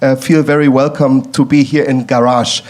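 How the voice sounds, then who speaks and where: A man hosting an event and introducing the speaker, talking in a slightly halting way. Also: mid-range voice, flat delivery, a little mellow. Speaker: event host.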